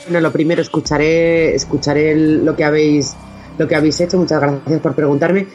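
A woman giving thoughtful interview answers in a hesitant way, pausing to search for words. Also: sits low, little pitch movement, highs cut off, over music. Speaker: interview guest, audio fiction maker.

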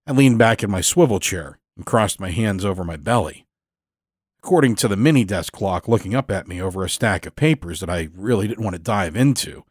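A man reading scripted first-person fiction as a storyteller, in a measured, dramatic manner. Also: fairly low pitch, breathy edge, dry recording, studio quality. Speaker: storyteller, podcast narrator.

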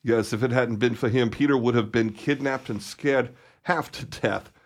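A man playing a gruffly protective father and boss in a radio play, speaking emphatically. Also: mid-range voice, fairly even intonation, moderate pace, close to an everyday voice. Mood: proud, indignant.

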